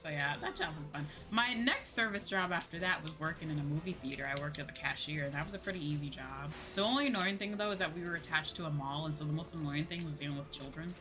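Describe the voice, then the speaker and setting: A young American woman livestreamer chatting casually, animated and sweary, acting out exchanges with exasperation. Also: low-pitched, fast talker, few pauses. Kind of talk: casual chat while gaming on stream. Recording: background music under the voice. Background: music.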